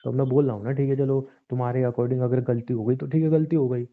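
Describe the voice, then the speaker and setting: A man's voice: medium pitch, level tone, quick pace, close to an everyday voice. Casting: man as cold, unapologetic husband for a home-made audio drama, dismissive and testy, thin telephone-quality audio. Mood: defensive, irritated. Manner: dismissive, brushing off accusations. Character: callous, shameless husband, dismissive and irritated. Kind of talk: hobby audio drama, staged husband-wife quarrel. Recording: thin tinny phone line.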